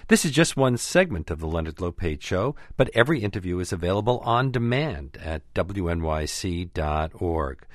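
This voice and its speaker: A man's voice: low voice, big pitch swings, moderate pace, hardly any pauses, no echo, clean studio sound. A middle-aged man hosting a radio interview, polished and conversational. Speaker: radio host.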